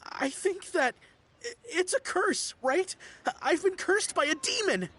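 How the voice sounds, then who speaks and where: A woman's voice: very high voice, expressive, slow pace, a bit bright, full character voice. A woman voicing a panicky, superstitious young man in a video game, nervous and melodramatic. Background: game music.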